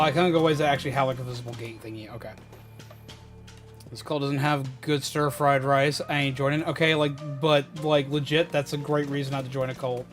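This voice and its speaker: A man doing casual game livestream commentary, joking playfully and repeating his phrases as he chats with viewers. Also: medium pitch. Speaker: game streamer. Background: game music.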